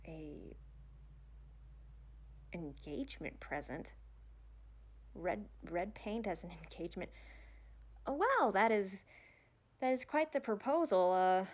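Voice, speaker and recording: Woman playing an awkward, slow-talking young princess. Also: lowish voice, natural voice. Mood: surprised, pleased. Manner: hesitant, stammering, rambling, with casual modern phrasing. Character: awkward, nerdy, bashful young human princess. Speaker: audio roleplay performer. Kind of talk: ASMR romantic fantasy audio roleplay, one-sided dialogue.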